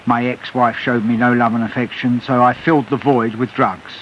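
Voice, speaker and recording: A man's voice: mid-range voice, little pitch movement, moderate pace. A middle-aged man giving candid personal testimony as a radio interview guest, speaking earnestly and repeating phrases for stress. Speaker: interview guest, author and recovered addict. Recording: muffled hissy shortwave-style radio broadcast.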